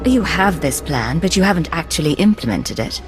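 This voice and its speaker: A woman's voice: sits low, very animated, brisk, faint music behind. An actress playing a cool, sardonic female secret agent with dry, cutting replies in a game cutscene. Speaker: undercover imperial agent. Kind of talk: video game cutscene acting.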